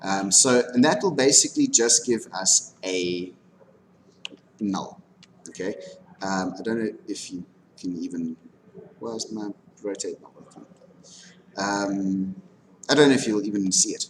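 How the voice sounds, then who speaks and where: Male instructor, low register, casual step-by-step demo delivery, frequent check-ins, slight room noise. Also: measured pace, pauses often. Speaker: animation lecturer. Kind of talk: informal software lesson with live demonstration. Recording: background noise, room ambience.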